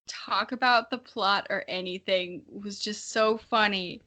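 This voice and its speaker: A woman's voice: medium pitch, fairly even intonation, a little slow, sharp tone, a little hiss or hum. A young woman co-hosting a podcast in casual chat, candid and self-mocking, with easy swearing. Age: young adult. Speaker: podcast co-host. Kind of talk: casual chat between friends.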